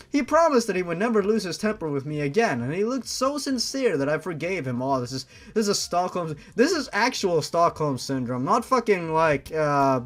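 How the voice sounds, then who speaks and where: A young man reading a fan-fiction story aloud, breaking off for sarcastic, profane commentary. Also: high-pitched. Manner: sarcastic, swears freely, breaks off to mock the text.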